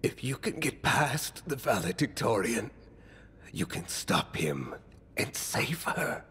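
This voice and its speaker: A man playing a weary, remorseful fallen mastermind in a video game, speaking gravely and haltingly with breathy trailing phrases. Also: mid-range voice, big pitch swings, fairly bright tone, heavily acted. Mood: urgent. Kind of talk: dramatic video game monologue.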